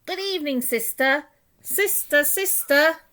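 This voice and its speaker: A woman storyteller puts on a plucky female duck for a children's story. The voice sits high, with a polite, coaxing manner that hides a clever streak. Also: a little slow, pushed and bright. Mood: calling out. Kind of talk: children's storybook read aloud.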